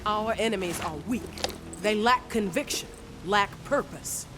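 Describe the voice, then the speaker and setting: A woman's voice: medium pitch, measured pace, music in the background, lightly acted. A woman voicing a stern, militant faction leader who delivers a contemptuous, declamatory propaganda broadcast in a video game. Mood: scornful, rallying.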